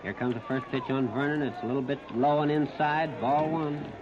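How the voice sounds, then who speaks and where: An American man calling a baseball game live as a 1930s radio play-by-play announcer, narrating each moment in short, clipped phrases with pauses. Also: medium pitch, fairly even intonation. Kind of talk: 1939 live radio sports commentary. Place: outdoor ballpark, broadcast position behind home plate. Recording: muffled, noisy 1930s radio disc recording.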